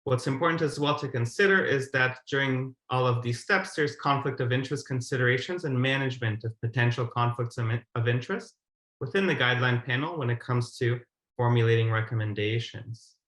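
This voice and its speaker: Guy in his 30s doing a formal webinar talk, echoey room on a video call, medium pitch. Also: brisk, a bit bright. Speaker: guideline methodologist, webinar presenter. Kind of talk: prepared webinar presentation. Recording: roomy, echoing webcam audio.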